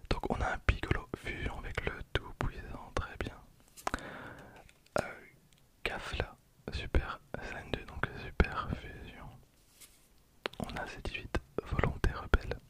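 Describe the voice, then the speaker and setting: A person in their twenties whispering casual ASMR commentary close to the microphone, with a breathy, unvoiced delivery. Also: measured pace, long pauses. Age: 20s. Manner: hushed, casual asides. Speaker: ASMR video creator. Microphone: close handheld-recorder microphone.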